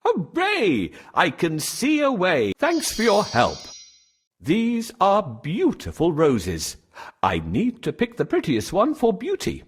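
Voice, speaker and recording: A man acting a high-voiced, pleading merchant father. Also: huge pitch swings, takes it slowly, slightly rough, exaggerated voice. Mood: delighted. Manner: pleading, dramatic. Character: frightened, devoted old merchant father, gentle and pleading. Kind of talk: animated storybook dialogue.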